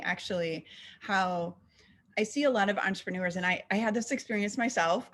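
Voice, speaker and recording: A woman's voice: medium pitch, a bit bright. A woman with a mid-range voice hosts a conversation with a guest. She is friendly and affirming, reflecting the guest's story back to her and sharing her own view. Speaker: interview host. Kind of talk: interview conversation.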